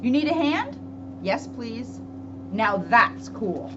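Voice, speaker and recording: A woman police officer speaking to children in an expressive, storybook read-aloud manner, with emphatic pauses. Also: a little high. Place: indoors at a public library. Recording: dull lo-fi audio with clear background noise.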